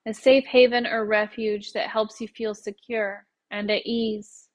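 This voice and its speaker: Calm lady leading guided meditation, pretty flat intonation, measured w pauses. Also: fairly high pitch.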